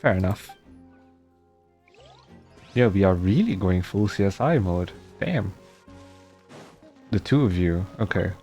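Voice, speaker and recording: Mellow, laid-back male game streamer. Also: low voice, expressive, takes it slowly, long pauses. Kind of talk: casual gameplay commentary, reads dialogue aloud. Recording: game music behind the voice.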